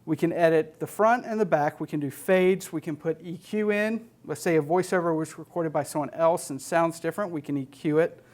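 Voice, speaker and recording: A man in his thirties with an American accent and a slightly high voice gives an instructional presentation. He is plain-spoken and matter-of-fact, explaining things step by step as a technician. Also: a little fast, few pauses, darkish tone. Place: indoor studio stage with LED wall.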